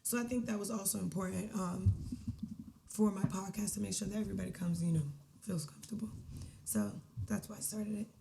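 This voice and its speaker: A woman from the DMV area, guesting on a podcast, telling stories in an outgoing, animated way and asking "right?" over and over. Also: mid-range voice, fairly quick, vocal fry, slight background noise. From United States, DMV area. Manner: laughs often. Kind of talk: casual chat.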